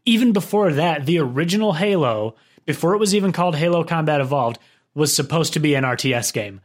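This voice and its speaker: A young man co-hosting a podcast in casual chat, playful and quick to laugh. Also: fairly high pitch. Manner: playful, laughs often, animated. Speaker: podcast co-host.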